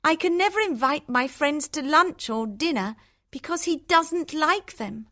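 Discontented young storybook wife, voiced by a woman: raised pitch, full of complaint. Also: sing-song, a little slow, sharp tone, exaggerated voice. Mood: complaining. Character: discontented young married sister in a fairy tale.

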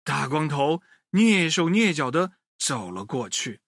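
A man narrates a storybook for small children with big swings in pitch. His voice is bright and forward, recorded close and dry, and he addresses his young audience directly. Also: very animated, a little slow, dry recording.

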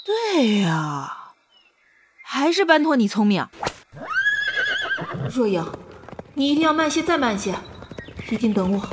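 A woman's voice: fairly high pitch, big pitch swings, slow, long pauses, very noisy. A woman playing an impulsive, lovestruck young princess in a Chinese costume audio drama. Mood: eager, determined.

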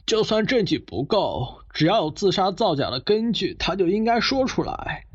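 Man playing husky, animated skeptical father. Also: sits high, exaggerated voice. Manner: doubting, conversational, quick to object. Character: skeptical middle-aged father, matter-of-fact relative in family debate. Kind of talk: Chinese audio detective drama dialogue. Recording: slightly muffled, music underneath.

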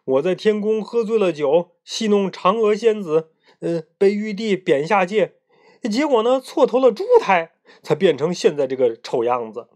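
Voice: medium pitch, expressive, normal speed, darkish tone, pitched above the performer's own voice, natural voice. A storyteller voicing a boastful, sulky pig demon from a children's storybook, with scornful bluster and hesitant grunts. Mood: aggrieved, defiant.

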